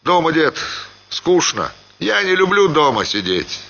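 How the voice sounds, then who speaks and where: Guy doing an easygoing bored rich squire for an audiobook, higher bright voice. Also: sing-song, measured pace, creaky, muffled recording, full character voice. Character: bored, easygoing middle-aged gentleman landowner.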